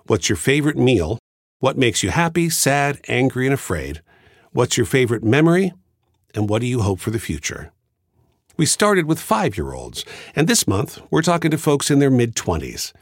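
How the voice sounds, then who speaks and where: A man hosting a podcast, reading a measured, thoughtful scripted introduction. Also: mid-range voice, big pitch swings, dry recording, studio quality. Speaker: podcast host. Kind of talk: scripted reflective narration.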